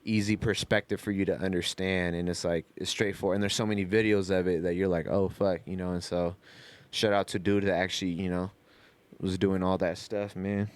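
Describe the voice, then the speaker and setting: A man's voice: low-pitched, flat delivery, fairly quick, smooth. A man talking in a casual, rambling podcast style, full of slang and cursing. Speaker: podcast co-host.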